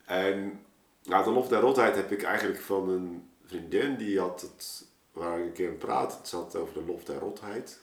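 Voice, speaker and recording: Male guest, deep voice, Netherlands Dutch accent, informal explainer, roomy sound. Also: normal speed, distant mic. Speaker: guest, poet and performer. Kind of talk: casual explanatory chat. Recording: echoey room, slightly off mic.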